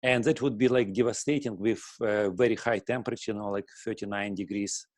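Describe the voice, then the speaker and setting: A middle-aged man from Russia with a Russian accent, a guest answering questions in halting speech full of fillers and restarts, often clearing his throat. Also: lowish voice, steady tone, a little mellow, no room sound, studio quality. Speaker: guest, breathing teacher. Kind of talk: casual interview answer.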